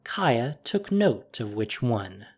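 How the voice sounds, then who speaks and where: A man reading a fantasy story aloud in a measured, expressive way. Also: mid-range voice, vocal fry, soft background music. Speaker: narrator. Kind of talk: storybook narration.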